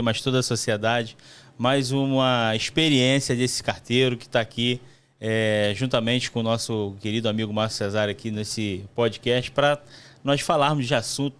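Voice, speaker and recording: A male podcast host with a Rio de Janeiro accent. His voice is clean and smooth, sitting at a middling pitch, and he talks to listeners and guest in a conversational style. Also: a bit bright. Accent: Brazilian, Rio de Janeiro. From Brazil. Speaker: podcast host, letter carrier. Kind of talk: conversational podcast hosting and interviewing.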